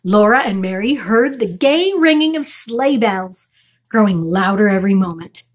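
A woman's voice: medium pitch, animated, normal speed, breathy edge. A middle-aged woman reading a children's chapter book aloud as a storytime reader. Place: small furnished room indoors.

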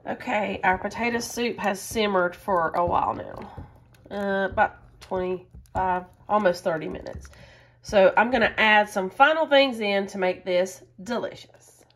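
A woman's voice: medium pitch, fairly bright tone. Chatty woman cooking at home. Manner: chatty, enthusiastic, says y'all often. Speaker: cooking vlogger. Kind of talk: casual cook-along chat. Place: home kitchen, indoors.

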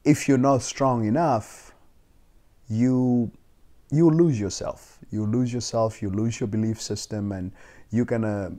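Young man, mellow dark tone, restarts often, lapel mic, living room interview. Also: medium pitch, a little slow. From South Africa. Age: 20s. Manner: thoughtful, with frequent restarts and soft laughs. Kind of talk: casual sit-down interview. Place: living room, seated on leather couch.

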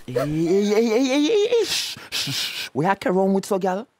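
A man's voice: sits high, huge pitch swings, normal speed, heavily acted. A man with a Tobagonian accent acting a nervous, shifty crook in a radio drama, stammering and repeating himself as he pushes. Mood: hushed, nervous. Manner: evasive, repetitive, pushy.

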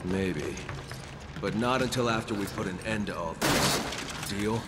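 A man playing a gruff, determined special agent in his thirties in a video game cutscene, terse and guarded. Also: on the low side, a little slow, very noisy, natural voice. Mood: guarded, resolute.

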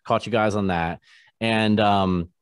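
Low-voiced radio host asking halting questions. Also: male voice, steady tone, clear, resonant tone, no room sound, clean studio sound. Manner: halting, filler-heavy questions. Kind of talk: casual interview questions. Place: home studio, posters on wall. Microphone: large studio microphone, webcam.

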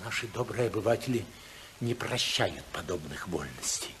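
A man's voice: sits low, lively intonation, fairly bright tone, slight background noise. An actor playing a domineering, ruthless older tycoon father in 1950s radio drama, speaking in an imperious, oratorical manner. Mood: threatening. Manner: imperious, oratorical, slow and emphatic.

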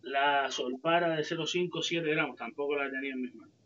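A man's voice: a little high, a little fast, a bit bright. A man co-hosting a fishing livestream, chatting casually over a video call and answering viewers' questions. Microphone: headset on a video call. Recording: dull lo-fi call audio.

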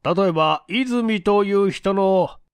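A polite, probing male investigator, played by a male reader in a mystery audiobook. His voice is lifted and higher, with courteous, formal questioning. The recording is dry. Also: takes it slowly, clean tone, dry recording. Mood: polite, earnest. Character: polite, probing male detective agency chief. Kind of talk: dramatic audiobook character reading.

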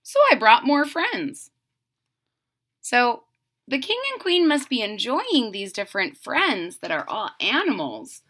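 Middle-aged woman, children's read-aloud, unhurried and very animated. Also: a little high, husky. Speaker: storyteller reading a picture book aloud. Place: small room at home.

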